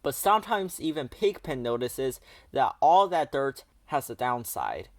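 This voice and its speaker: Animated man reading a children's storybook. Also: medium pitch, measured pace, slight background noise. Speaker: storybook narrator. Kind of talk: children's read-aloud narration.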